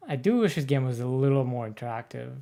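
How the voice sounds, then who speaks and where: A woman playing a game with a friend and giving slow, thoughtful commentary. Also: extremely low pitch, expressive, mellow. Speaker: game player. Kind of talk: casual chat.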